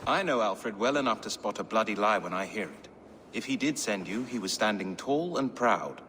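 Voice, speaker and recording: An actor playing a wry, defiant medieval English reeve with a salty, sardonic turn of speech, in a video game. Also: male voice, on the low side, animated, moderate pace, sharp tone, a little hiss or hum. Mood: wry defiance. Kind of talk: dramatic video game acting.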